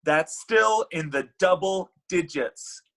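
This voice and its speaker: A man's voice: high-pitched, takes it slowly, a bit bright, exaggerated voice. A man playing Cupid in a comedy table read, a bitter, heartbroken matchmaker god who gets defensive and exasperated. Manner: exasperated, indignant, stammering.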